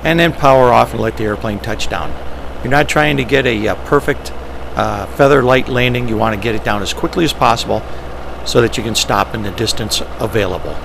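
A man's voice: mid-range voice, fairly quick, creaky. A man giving matter-of-fact, step-by-step instructional narration as a pilot, often in short clipped phrases. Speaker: pilot presenting ski flying. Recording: steady engine and vehicle noise.

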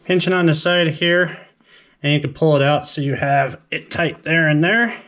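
A man's voice: fairly high pitch, moderate pace, fairly bright tone. Man explaining a DIY build as he works. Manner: plain step-by-step explaining while working with tools. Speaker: channel host. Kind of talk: casual how-to narration. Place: driveway outdoors, then house kitchen floor. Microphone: body-worn action camera.

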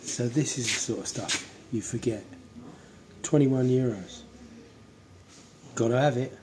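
A man's voice: medium pitch, a little slow, background noise. A man in his fifties chatting casually while shopping in a big warehouse store. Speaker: renovation vlogger. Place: inside a large DIY warehouse store. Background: music.

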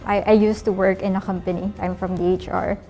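A woman from the Philippines with a mellow, warm voice explains things steadily on a panel, drawing on her HR background. Quiet music plays behind her. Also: mid-range voice, flat delivery, brisk, gravelly. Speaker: former HR worker, panel guest. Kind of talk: casual panel discussion.

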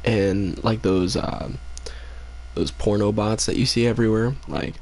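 A sleepy young man talks to his stream chat in a low, gravelly voice. He speaks slowly and rambles between fillers, clearly tired late at night. The sound is a casual home desk mic. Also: a little mellow. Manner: rambling, laid-back, many fillers, reads chat aloud. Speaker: game streamer. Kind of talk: casual live-stream chat while gaming.

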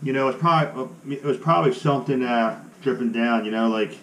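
A male plumber talking casually on the job in a plain-spoken, informal way. His voice sits at a middling pitch. There is some noise around him as he works indoors. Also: a little fast, fairly bright tone, poor audio. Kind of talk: casual job-site chat. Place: indoors in a house under repair. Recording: clear background noise on site.

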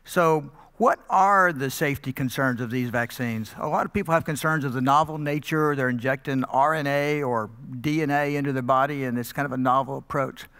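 A middle-aged man with a mid-pitched voice hosts an informal Q&A, putting questions to a guest. His voice carries the long reverb of a large hall. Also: fairly quick, hardly any pauses, a bit bright, clear, resonant tone. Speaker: interviewer, moderator. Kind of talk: informal question and answer moderating. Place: on stage in a church auditorium.

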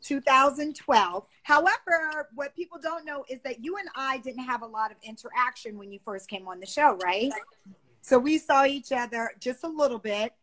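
Animated woman host, slightly high voice, casual chat over a phone stream. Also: moderate pace, poor audio. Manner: animated, exclamatory, gestures a lot. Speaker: livestream host. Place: bedroom, seated on a bed. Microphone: phone on a video call.

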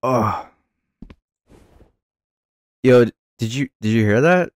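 A man's voice: mid-range voice, expressive, slow, no room sound, studio quality. A man chatting casually in slang, a little hesitantly, as he improvises a gaming skit. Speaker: host of a gaming horror skit video. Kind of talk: casual improvised skit chat in a social VR game.

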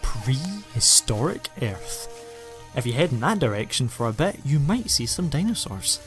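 A man's voice: medium pitch, big pitch swings, moderate pace, faint music behind, close to an everyday voice. An actor playing an eccentric, ancient male alien time traveller, enthusiastic and playfully self-mocking, in a fan audio drama. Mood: explaining.

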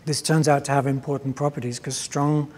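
A middle-aged man with a husky voice giving an explanatory conference keynote on a lapel mic, measured, with hesitant pauses. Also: mid-range voice, little pitch movement, darkish tone, a little hiss or hum. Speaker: keynote speaker. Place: large conference stage, indoors. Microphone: clip-on lapel mic. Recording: reverberant conference hall.

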